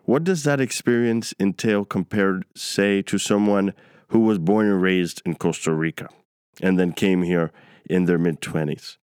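A man from New York hosting a conversational interview in an affirming, deliberate way. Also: low voice, a little mellow, smooth, no room sound, clean studio sound. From New York, United States. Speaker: interviewer, host.

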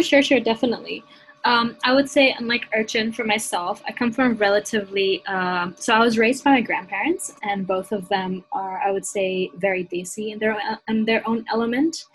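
A woman's voice: medium pitch, husky, low-quality recording. A young woman from Hong Kong talking earnestly and reflectively in a casual discussion, with frequent fillers. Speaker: panel guest.

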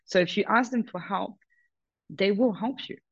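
A woman's voice: mid-range voice, moderate pace, husky, no echo, dull audio. A businesswoman talking frankly as a guest in an interview, often stressing her points with "totally" and "hundred percent". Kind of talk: casual interview conversation.

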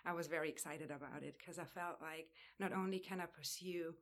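Low-voiced young German woman. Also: steady tone, fairly quick. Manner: friendly, filler-heavy speech. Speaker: graduate student guest. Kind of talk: conversational interview answers.

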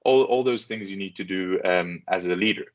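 Interview guest, young man, deep register, casual halting answers, slightly muffled audio. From Switzerland and Southeast Asia. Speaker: podcast guest, business leader. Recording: dull, muffled lo-fi sound.

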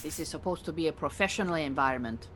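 An actress playing an exacting Iranian refugee mentor in an audio play, speaking formally and precisely with dry, bossy authority. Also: female voice, low voice, quick pace, fairly bright tone, slight background noise. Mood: stern, commanding. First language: Farsi. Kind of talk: audio theatre acting.

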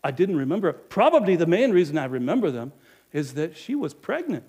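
A man playing a businesslike village innkeeper in an animated first-person monologue, emphatic and speaking straight to the audience. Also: a little high, fairly quick, breathy edge, full character voice. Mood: self-justifying. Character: businesslike male village innkeeper, proud then guilty.

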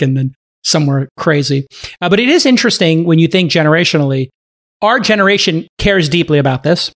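A man's voice: fairly high pitch, a bit bright. A man in his late forties hosting a podcast, chatty and enthusiastic in casual interview talk. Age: 40s. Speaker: podcast host.

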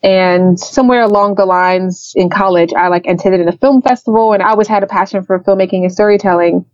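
A woman's voice: mid-range voice, a little fast, no room sound. A young woman from Liberia, a festival founder, talking casually in an interview with lots of "like" and "you know". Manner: relaxed, chatty, uses "like" and "you know". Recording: slightly dull lo-fi sound with faint music.

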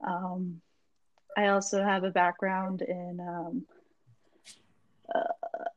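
A woman's voice: lowish voice, level tone, extremely slow, no room sound, narrow, tinny audio. Woman, young adult, from Florida, podcast guest, rambling, filler-heavy, dry recording. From Jacksonville, Florida. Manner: rambling, many ums and likes. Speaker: magazine founder, guest. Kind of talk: casual interview chat.

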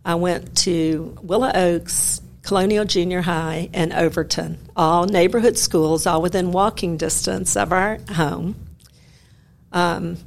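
A woman in her sixties from Memphis, a retired judge telling stories from her career in a measured, wry way. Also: fairly low pitch, fairly even intonation, creaky, a little hiss or hum. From Memphis, Tennessee. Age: 60s. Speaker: guest, retired federal judge. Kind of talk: conversational interview.

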